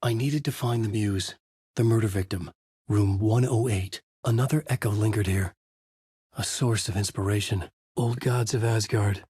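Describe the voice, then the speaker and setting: An actor playing a haunted, desperate horror writer, narrating in a brooding, intense noir style for a video game. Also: male voice, fairly low pitch, steady tone, moderate pace, dark tone, slightly rough, no room sound, studio quality. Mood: brooding, grave. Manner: brooding, intense, terse delivery. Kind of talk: dramatic first-person noir narration.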